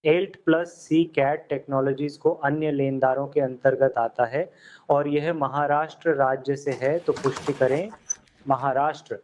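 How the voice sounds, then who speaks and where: A man teaching accounting software step by step, voiced over a screen recording, often asking the viewer what comes next. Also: mid-range voice, fairly even intonation, measured pace, background noise. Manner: step-by-step instructions with questions to the viewer. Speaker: software tutor.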